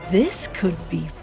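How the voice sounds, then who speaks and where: A woman playing a mischievous giant female fox in an audio drama, with a drawn-out, teasing delivery. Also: on the high side, exaggerated voice.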